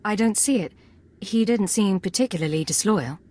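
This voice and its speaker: A woman's voice: mid-range voice, animated, moderate pace, darkish tone, close to an everyday voice. A woman playing a cool, self-assured undercover agent in a video game, speaking in terse, confident lines. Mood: probing. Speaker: voice actor in a game. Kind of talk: video game cutscene acting.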